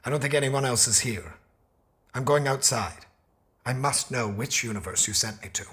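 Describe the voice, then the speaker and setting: An actor playing an earnest, bewildered scientist in an audio drama, speaking haltingly and repeating himself. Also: male voice, mid-range voice, fairly bright tone, lightly acted. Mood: awed, somber. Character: earnest, bewildered male scientist stranded in a parallel universe.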